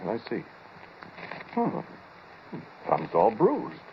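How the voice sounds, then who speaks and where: A brooding, deep-voiced American man tells of his own invention in a deliberate, haunted way. It is 1940s radio drama, muffled and buried in loud hiss and noise. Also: huge pitch swings, vocal fry, heavily acted. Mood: puzzled, musing. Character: shaken, brooding male inventor recounting his time-machine experiment.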